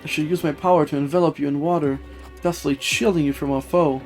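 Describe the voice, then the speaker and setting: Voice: mid-range voice, steady tone, fast talker, darkish tone, soft background music, close to an everyday voice. Gallant aquatic prince for a game, voiced by a streamer: mid-range, formal, earnest, courteous warrior. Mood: resolute. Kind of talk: reading video game dialogue aloud in character.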